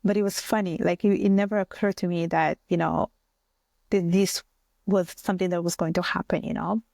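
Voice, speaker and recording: A woman's voice: fairly low pitch, fairly even intonation, fairly quick, creaky, a little mellow, no echo, clean studio sound. A woman from Italy with an Italian accent, a native Bengali and Italian speaker, telling personal stories as a podcast guest. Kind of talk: conversational personal storytelling.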